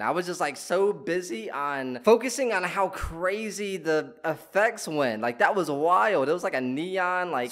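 A man in his twenties giving casual, slangy reaction commentary with animated exclamations, close on a desk microphone. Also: fairly high pitch. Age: 20s. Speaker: reaction video host. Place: indoor room in front of leafy backdrop. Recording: loud music under the voice. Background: loud game trailer music.

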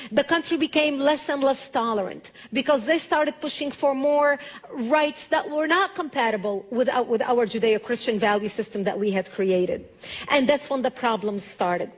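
A middle-aged woman with a Lebanese accent delivering an emphatic prepared speech with rhetorical repetition. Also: a little high. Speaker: public speaker. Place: large auditorium stage at a lectern.

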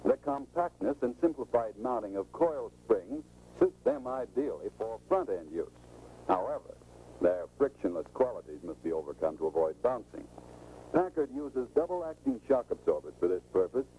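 A man's voice: mid-range voice, big pitch swings, normal speed, warm tone, slight background noise, poor audio. A middle-aged American man giving scripted, instructive, salesmanlike narration in the style of a 1940s training film, on an old film soundtrack. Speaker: automotive expert presenting a sales training film.

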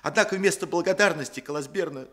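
Husky, stammering suspect, a nervous schemer. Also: male voice, fairly high pitch, quick pace, a bit bright. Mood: evasive, pleading. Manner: obsequious, faltering, stammering. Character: frightened, evasive Sicilian male suspect under interrogation, servile schemer. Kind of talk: Soviet radio drama acting.

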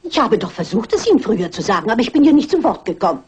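An older woman playing a shrewd, persistent amateur sleuth in a radio crime play, husky, polite but insistent and wry. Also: on the high side, sing-song, rapid-fire, a little mellow, full character voice.

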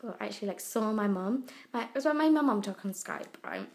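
A young woman from the UK with a croaky voice, rambling through a casual video diary with lots of fillers. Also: mid-range voice, wildly swooping pitch, a little fast. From United Kingdom. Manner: rambling, chatty, many fillers and trailing asides. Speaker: vlogger. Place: indoors, abroad in Italy. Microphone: handheld phone camera.

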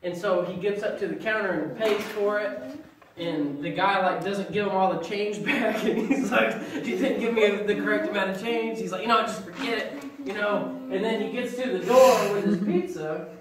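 A middle-aged man tells stand-up stories in a folksy way and gets loud at the punchlines, his voice sitting fairly high. He is picked up from far across a small hall, so the sound is very roomy and echoey. A live audience laughs and murmurs around him. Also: normal speed, crowd noise. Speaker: stand-up comedian. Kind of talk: stand-up comedy at a congregation event. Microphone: far camera, no mic.

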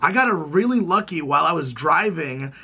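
A hoarse young man in his twenties, chatting excitably in a casual gaming vlog from a small room. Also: on the high side, a little fast. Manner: excitable, chatty, rambling with many "like"s. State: hoarse, recovering from lost voice. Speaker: gaming YouTuber.

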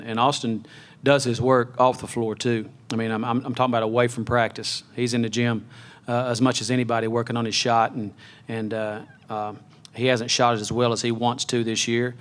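A man's voice: mid-range voice, flat delivery, brisk, fairly bright tone. A middle-aged man, a basketball head coach, talking through a loss at a postgame press conference with many fillers and restarts into a table mic. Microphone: table mic on a stand.

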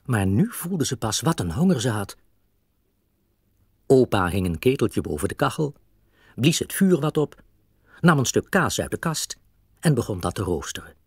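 A man's voice: medium pitch, sing-song, normal speed, pauses often, slightly rough, no room sound, clean studio sound. A man narrating a scripted children's story as its storyteller.

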